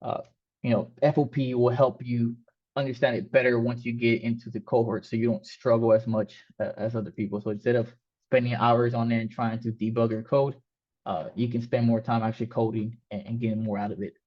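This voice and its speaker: A man's voice: medium pitch, flat delivery, a little fast. Spanish-native man teaching a coding class. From Mexico. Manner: loose and casual, many fillers and restarts. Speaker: coding instructor. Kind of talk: online class talk. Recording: slightly dull, lo-fi sound.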